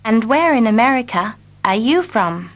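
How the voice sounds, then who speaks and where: A woman repeating short English dialogue lines slowly and carefully for learners. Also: on the high side, animated. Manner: carefully repeats each line. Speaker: English teacher. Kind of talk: slow scripted reading for learners.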